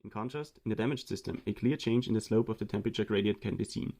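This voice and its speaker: A young man from Austria giving a read-aloud presentation of a student research project in a steady explanatory way. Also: on the low side, fast, vocal fry.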